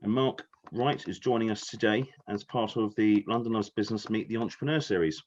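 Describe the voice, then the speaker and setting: A man's voice: on the low side, brisk, darkish tone. A man hosting an interview, introducing and putting questions to a guest. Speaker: interviewer, host. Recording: slightly dull, lo-fi sound.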